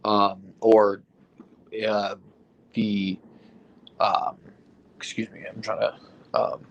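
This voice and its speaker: A man's voice: lowish voice, flat delivery, drawn-out words, gravelly, highs cut off, a little hiss or hum. A man in casual discussion, getting over an illness, who explains with examples, hedges, and keeps asking "right?". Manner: explains with examples, hedging, frequent "right?" and fillers. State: recovering from illness, foggy.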